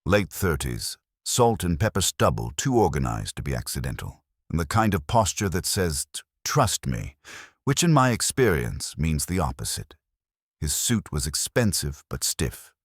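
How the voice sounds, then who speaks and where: Very deep, wry male detective narrator. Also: sing-song, normal speed, no room sound, studio quality. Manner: wry, hard-boiled. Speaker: audiobook narrator. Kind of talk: scripted first-person detective story narration.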